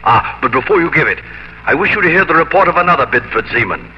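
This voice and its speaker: A man playing an eager, persuasive Elizabethan gentleman adventurer, hearty and theatrical in a 1950s radio drama. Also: on the high side, very animated, quick pace, noisy background. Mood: commanding. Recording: muffled 1950s radio broadcast with music.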